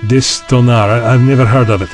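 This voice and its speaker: A man's voice: mid-range voice, steady tone, a little fast, faint music behind, natural voice. An actor playing a tactless, sceptical male university chancellor in a science fiction audio drama, blunt and questioning in manner. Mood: puzzled.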